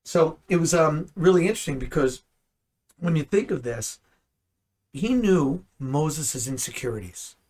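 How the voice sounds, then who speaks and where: A man in ministry teaching the Bible in conversation, animated and full of rhetorical questions. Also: fairly high pitch, unhurried. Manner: animated, asks rhetorical questions, acts out dialogue.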